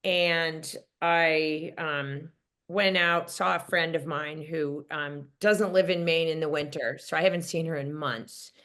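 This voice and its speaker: A woman's voice: sits low, fairly even intonation, slow pace, fairly bright tone. Chatty wry woman caller. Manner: chatty storyteller, wry, many fillers. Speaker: caller, teacher. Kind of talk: sharing on a coaching call.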